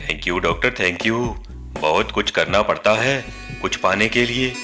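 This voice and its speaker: A man's voice: fairly low pitch, expressive, a little slow, pushed and bright, soft background music. A man voicing a lovestruck, scheming half-man half-spider in a cartoon, with theatrical delivery. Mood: eager, grateful. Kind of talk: Hindi cartoon story dubbing.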